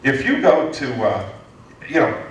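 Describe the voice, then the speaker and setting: A man in his fifties from the United States, answering an audience question at a lecture in a lively, joking way with many restarts. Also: mid-range voice, unhurried. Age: 50s. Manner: animated, joking, frequent restarts. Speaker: guest speaker, entrepreneurship lecturer. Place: lecture hall. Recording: echoey hall from far off.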